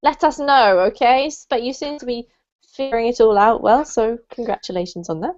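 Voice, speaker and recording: British woman, online English teacher, high bright voice, laughs a lot, muffled call audio. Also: brisk. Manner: cheerful, laughs often, greets students by name. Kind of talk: casual online class chat. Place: home room in New Zealand. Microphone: video call. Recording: dull lo-fi video call audio.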